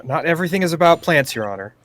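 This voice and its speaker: Man chatting casually, lively intonation. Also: on the high side, very fast. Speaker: gaming co-host. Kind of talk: casual gameplay chat.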